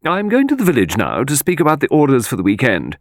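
Male narrator as autocratic old lady, raised pitch, swooping intonation, emphatic, sharp-tongued, storybook dialogue. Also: huge pitch swings, no echo, clean studio sound. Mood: sharp, decisive. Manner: brisk, emphatic, commanding. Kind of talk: audiobook character voice.

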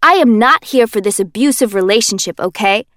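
A woman playing a brash young man in a storybook, always loud and fed up, crude and mouthy. His voice jumps high and lively as he protests. The sound is dry and close. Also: brisk, dry recording. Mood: indignant. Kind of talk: audiobook character voice.

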